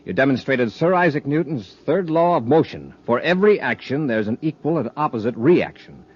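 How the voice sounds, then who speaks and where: An actor playing a resolute, quick-thinking space commander, earnest and commanding, in an old-time radio serial. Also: male voice, mid-range voice, sing-song, husky. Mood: instructive, brisk. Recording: dull, noisy old radio recording.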